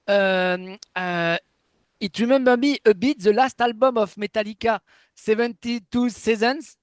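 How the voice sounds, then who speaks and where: A man whose first language is French, interviewing in halting, enthusiastic English as he searches for words. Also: sits high, dry recording. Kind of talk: casual interview chat.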